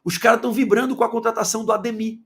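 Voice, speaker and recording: A man from Salvador with a Bahian accent giving an opinionated football commentary monologue, firing rhetorical questions and swinging between loud and low. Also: steady tone, racing, vocal fry. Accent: Bahian Portuguese. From Salvador, Bahia, Brazil.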